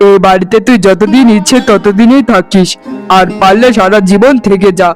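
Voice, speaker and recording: A stern but soft-hearted cartoon family man, acted by a man. His voice is high for a man and his delivery is emphatic and scolding. Music sits behind it. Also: normal speed, natural voice. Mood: resigned. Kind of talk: Bengali cartoon voice acting.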